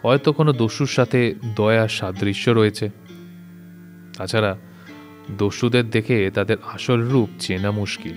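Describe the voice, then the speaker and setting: A man playing a calm, guarded king who questions with measured courtesy in a dramatised audio story. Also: fairly low pitch, faint music behind, natural voice. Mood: reassuring. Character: composed, guarded king questioning a troubled subject.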